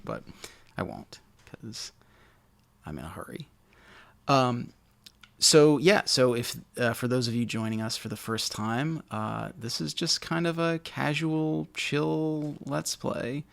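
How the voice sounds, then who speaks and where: A man with a naturally low voice, casually commentating a video game he loves, a little mumbly and self-deprecating. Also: creaky. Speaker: let's play host.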